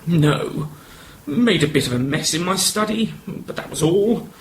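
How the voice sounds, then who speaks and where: Guy acting a proud old colonel, gallant, highish lively voice, radio play, bit of hiss. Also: measured pace. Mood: dismissive, indignant. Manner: genteel, old-fashioned gallantry. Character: courtly, proud older retired colonel and lily grower.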